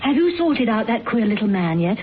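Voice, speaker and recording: A woman playing a frank, brisk, polite secretary in a radio drama. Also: fairly high pitch, very animated. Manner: brisk, plain-spoken, polite. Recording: tinny band-limited radio recording with hiss.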